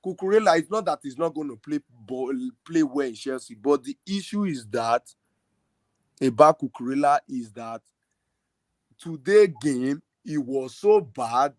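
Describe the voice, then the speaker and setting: Football podcast guy ranting hard, kinda high voice, dead dry mic. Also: expressive, unhurried, darkish tone, no room sound. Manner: passionate, argumentative, emphatic. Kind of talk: heated casual fan debate.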